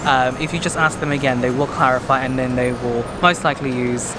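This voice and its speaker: A man with a Hong Kong English accent vlogs on a busy city street, filling his talk with "um"s and "you guys". His voice sits at an ordinary middle pitch. Heavy traffic noise rumbles loudly around him outdoors. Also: steady tone, brisk. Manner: chatty, addresses viewers as "you guys", many "um"s. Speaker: vlogger. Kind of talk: casual vlog to camera. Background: loud traffic and street noise.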